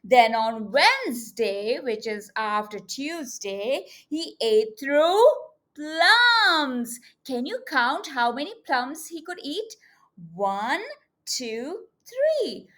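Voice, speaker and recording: A woman with a high voice reads a storybook to small children in a teacherly way. Her delivery is extremely slow, with deliberate stress on words. The pitch swings in a lively way as she coaxes young listeners along with questions. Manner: expressive, coaxing, addressing young children. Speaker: children's read-aloud teacher.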